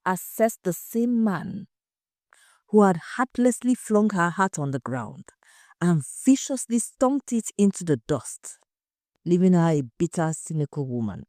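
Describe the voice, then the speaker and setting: Lady reading a dramatic story, low mellow voice, really dry sound. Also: very animated, a little slow, no room sound. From Nigeria. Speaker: storyteller narrator. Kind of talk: scripted dramatic audio story narration.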